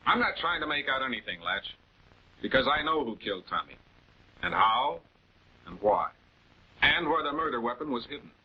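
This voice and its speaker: Methodical prosecutor, accusatory and deliberate, slightly husky, vintage crime drama, dull film soundtrack. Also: male voice, medium pitch, big pitch swings. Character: composed, methodical male prosecutor confronting suspects. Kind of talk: 1940s television crime drama acting.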